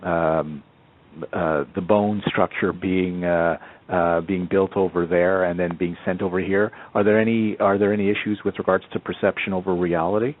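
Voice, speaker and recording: Man, deep voice, halting long-winded analyst questions, narrow muffled phone line. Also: fairly even intonation. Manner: hesitant, long-winded, circling back to rephrase. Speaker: analyst asking questions on an earnings call. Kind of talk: halting question-and-answer on a conference call. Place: conference call by phone.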